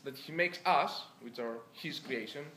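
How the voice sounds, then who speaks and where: Greek-accented audience man, hesitant questioner, bright voice, roomy hall. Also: mid-range voice, a little slow, slight background noise. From Greece. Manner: hesitant, searching for words. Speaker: audience member asking a question. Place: lecture room. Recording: echoey room recording.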